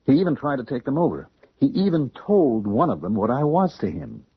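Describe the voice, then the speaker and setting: On a muffled, dry 1970s radio drama recording, a young American man in torment and servitude. His lowish, badly roughened voice is earnest and halting, like a confession. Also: sing-song, dry recording. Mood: anguished, bitter.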